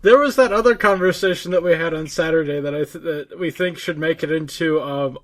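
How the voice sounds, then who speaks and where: A man co-hosting a casual gaming podcast, thinking aloud haltingly and repeating his words. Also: on the high side, a bit bright. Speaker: podcast co-host.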